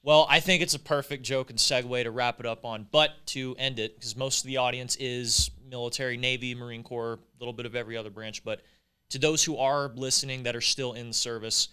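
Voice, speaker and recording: Bright, clear-voiced easygoing podcast host. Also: male voice, medium pitch, fairly even intonation, fairly quick. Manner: easygoing, laughs often between lines. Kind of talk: casual interview chat.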